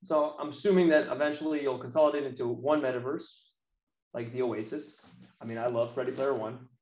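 A man's voice: medium pitch, fairly even intonation, fairly quick, a little mellow. A man in his late thirties with an American accent, chatting casually and bluntly as an interview guest. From United States. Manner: blunt, colloquial. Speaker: interview guest, games marketing professional. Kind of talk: casual opinionated chat. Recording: roomy echo, slightly dull sound.

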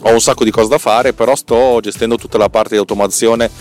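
A man's voice: medium pitch, steady tone, a little fast, faint music behind. A man hosting a solo podcast, explaining technical things casually in a rambling, colloquial way and repeating words for emphasis. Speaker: podcast host and software developer.

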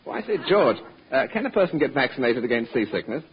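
A man playing an affable, silly comic sidekick with a mock-gentlemanly manner in old radio comedy. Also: mid-range voice, big pitch swings, fast, husky. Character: genial, silly male sidekick with absurd excuses. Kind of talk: 1940s radio comedy acting. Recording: muffled, noisy old radio recording.

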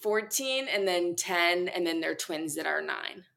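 A woman's voice: lowish voice, lively intonation, unhurried, vocal fry, a bit bright. Young woman podcast host, chatty and upbeat, laughs a lot, casual interview vibe. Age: young adult.

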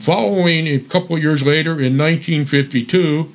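A man's voice: fairly high pitch, steady tone, fairly quick, highs cut off, a little hiss or hum. An older man co-hosting a radio show, chatting casually with enthusiasm. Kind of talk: casual chat about old-time radio.

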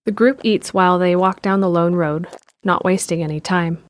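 A woman with a lowish voice narrates a scripted drama in an even, descriptive manner. Faint music plays beneath her reading. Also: normal speed.

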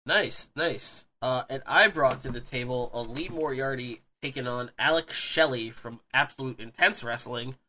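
A man's voice: medium pitch, moderate pace, few pauses, pushed and bright. A man hosting a casual podcast while sick with a cold, sniffly and with a scratchy throat, talking energetically with many fillers. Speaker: podcast host. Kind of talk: casual wrestling podcast chat.

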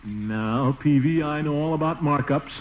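An actor playing a pompous, flustered middle-aged schemer in a 1940s radio comedy, blustering and self-satisfied. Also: male voice, on the high side, a little slow, phone-quality sound, natural voice. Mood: boastful. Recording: scratchy, band-limited 1940s radio broadcast.

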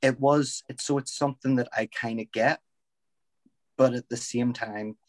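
A man's voice: mid-range voice, fairly even intonation, moderate pace, no room sound. A man and wrestler talking earnestly and from the heart in a casual chat. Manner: earnest, heartfelt, with teasing jabs. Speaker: wrestler and podcast guest.

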